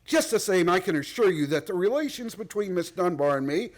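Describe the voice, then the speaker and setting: A man's voice: sits high, lively intonation, brisk. An actor playing a proud, domineering, wealthy man in a radio play, blunt, formal and defensive. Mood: grudging, frank.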